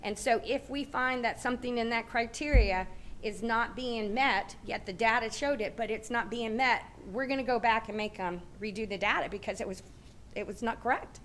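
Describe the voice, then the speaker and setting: A woman's voice: on the high side, moderate pace, a little hiss or hum. A woman with a Southern American accent, a city transportation official, methodically explaining policy at a public meeting. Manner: steady, methodical, repeats points for clarity. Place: large meeting room.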